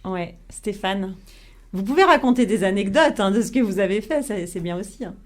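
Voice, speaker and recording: A woman hosting a casual radio discussion among friends, chatty, laughing often, trailing off softly at the ends of thoughts. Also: mid-range voice, expressive, brisk. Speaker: host.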